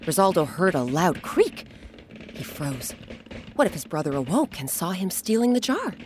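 A woman narrating a scripted children's story as host, with dramatic, expressive, deliberate phrasing. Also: fairly low pitch, slight background noise. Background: music.

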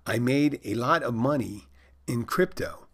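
A man's voice: mid-range voice, measured pace, a bit bright. A man voicing a naive fourteen-year-old Polish expat boy, reading out stilted, overly formal text messages. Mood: earnest deadpan.

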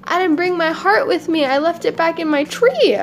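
A woman voicing a crafty, excitable male monkey in a storybook tale, with a slangy way of talking. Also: very high voice, moderate pace, soft background music, voice pushed up. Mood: crafty, reassuring. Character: breezy, crafty male monkey, loyal friend turned indignant. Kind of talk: casual storybook character voice.

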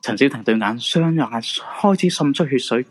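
A man's voice: medium pitch, animated, normal speed. A man with a Hong Kong Cantonese accent narrating a supernatural radio drama in a dramatic storytelling style.

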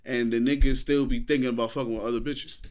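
A man's voice: medium pitch, fairly even intonation, fast. A man in his twenties with an American accent, bantering casually in a group. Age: 20s.

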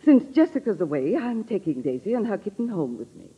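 A woman's voice: mid-range voice, sing-song, fairly quick, warm tone, breathy edge, highs cut off, heavily acted. A woman acting a determined, suspicious middle-aged sister-in-law in a 1940s radio drama, blunt and accusing, on an old radio recording. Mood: brisk, watchful.

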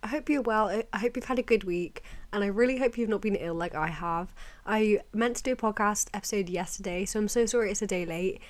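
Slightly croaky young British woman podcasting. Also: medium pitch, a little fast. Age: young adult. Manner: informal, rambling, confiding, peppered with "like" and "you know". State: slightly croaky with a cold and sore throat. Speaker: podcast host. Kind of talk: casual chatty solo podcast.

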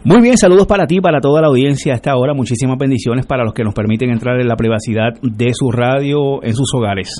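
A man with a Puerto Rican accent, a solar company representative giving a persuasive radio interview pitch and leaning on fillers like "eh". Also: quick pace, hardly any pauses, a little mellow. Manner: persuasive, filler-heavy with "eh" and "¿verdad?".

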